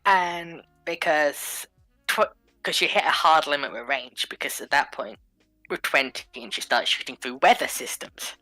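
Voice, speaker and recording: A woman's voice: deep voice, moderate pace, pushed and bright, no room sound, soft background music, rough recording. A woman arguing insistently in a casual technical debate as one of a panel. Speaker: panelist in a group discussion.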